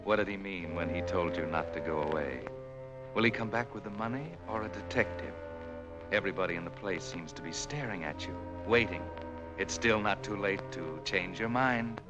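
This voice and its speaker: An actor playing an ominous unseen narrator in a 1940s film noir, taunting a guilty man with deliberate, insinuating questions. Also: low-pitched, close to an everyday voice, poor audio. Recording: 1940s film soundtrack, music underneath.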